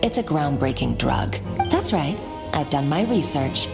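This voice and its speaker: Smug evil video game villain girl, mocking and theatrical, slow gloating delivery, music behind. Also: deep voice, very animated, gravelly, exaggerated voice. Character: sneering, gloating, ruthless young female villain.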